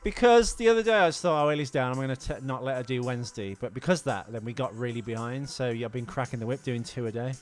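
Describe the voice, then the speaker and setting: A man's voice: mid-range voice, quick pace, few pauses. A man co-hosting a podcast, chatting casually, explaining film trivia and swearing freely. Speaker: podcast co-host.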